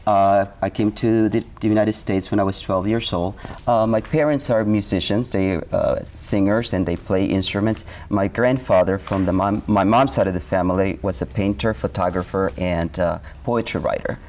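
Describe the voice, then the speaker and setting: A man from Honduras, an artistic director answering interview questions earnestly, often pausing with fillers and restarting. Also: fairly low pitch, fairly even intonation, darkish tone, a little hiss or hum. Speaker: dance company artistic director. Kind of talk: studio TV interview guest. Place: TV studio.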